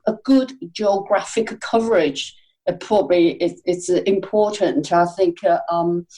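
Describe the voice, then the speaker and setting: A woman's voice: fairly low pitch, unhurried, dark tone, poor audio. A middle-aged woman from China, a non-native speaker, talking in an interview as a series editor, with frequent fillers and restarts. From China, Hangzhou. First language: Chinese. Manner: hesitant, many fillers and restarts. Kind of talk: conversational interview.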